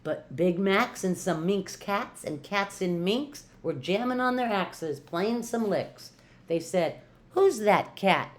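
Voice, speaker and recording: An older woman reads a children's picture book aloud at a slow pace. Her delivery is expressive and rhythmic, with playful drawn-out emphasis on key words, in a mid-pitched voice. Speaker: retired teacher reading to children.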